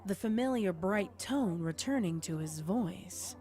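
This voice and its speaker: A woman's voice: medium pitch, lively intonation, measured pace, over music. A woman reading scripted story narration as the narrator of a tale.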